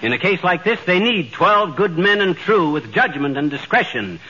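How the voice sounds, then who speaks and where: Blustery husband, high bright voice. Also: male voice, big pitch swings, moderate pace, slightly rough, full character voice. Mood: self-important. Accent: American. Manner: brash, wisecracking, grandiose. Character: blustery, boastful middle-aged husband, lovable bumbler. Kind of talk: 1940s radio comedy acting. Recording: dull, hissy 1940s radio recording.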